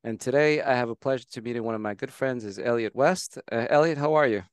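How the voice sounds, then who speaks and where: A man hosting a casual interview on a video call, enthusiastic and full of wows, with halting phrasing. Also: mid-range voice, clear, resonant tone, no room sound. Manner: enthusiastic, keeps saying wow, halting phrasing. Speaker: host.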